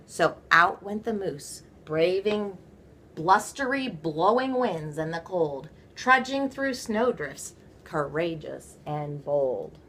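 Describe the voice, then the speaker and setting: A woman reading a children's story aloud, with playful, theatrical emphasis and drawn-out words. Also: on the low side, lively intonation, fairly bright tone, slight background noise. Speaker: storybook reader. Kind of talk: animated children's read-aloud.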